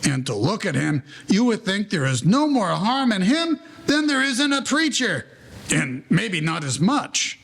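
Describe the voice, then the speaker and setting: A man's voice: high voice, big pitch swings, measured pace, creaky, fairly bright tone, full character voice. A man playing the wry storyteller of a 1940s-style gangster audio play, narrating in mannered, formal slang. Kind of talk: scripted period story narration.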